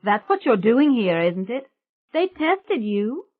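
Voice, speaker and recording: Blunt sharp young girl, as a woman puts her on, higher voice, tinny phone-like sound. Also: sing-song, takes it slowly, exaggerated voice.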